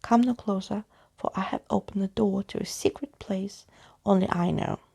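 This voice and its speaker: Woman voicing a theatrical, jaunty skeleton gentleman, creaky. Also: mid-range voice, steady tone, normal speed. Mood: grand, jaunty. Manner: grandiose, exclamatory. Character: theatrical, wordy, jaunty male living skeleton.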